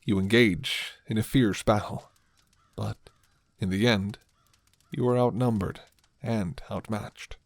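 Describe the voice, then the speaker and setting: A man's voice: lowish voice, steady tone, slow, no echo. A man reading scripted second-person narration for an ASMR roleplay story. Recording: music behind the voice.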